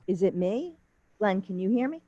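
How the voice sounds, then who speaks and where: A woman chatting casually on a group video call, hesitant with many ums and quick to laugh. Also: medium pitch, lively intonation, dark tone, highs cut off. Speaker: discussion group member. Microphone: computer microphone on a video call.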